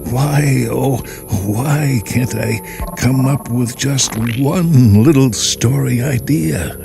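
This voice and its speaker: Man acting an excitable writer thinking aloud. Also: medium pitch, slow pace, creaky, pitched above the performer's own voice. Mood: anguished. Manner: musing to himself, emphatic. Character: frustrated male fiction writer thinking aloud, excitable, anxious. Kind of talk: dramatic radio story character voice. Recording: music behind the voice.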